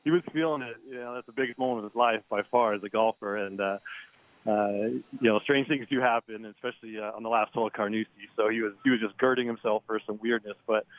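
A man calling in as a golf writer guest. He talks in a chatty, halting way, restarts words often and chuckles now and then. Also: on the low side, steady tone, dry recording. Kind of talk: phone interview. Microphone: phone. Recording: tinny dry phone line.

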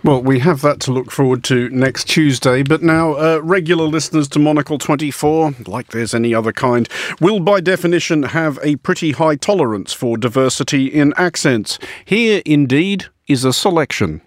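A male radio host who presents in a dry, wry manner. His voice sits a little high. The studio sound is close and dead. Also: moderate pace, dry recording.

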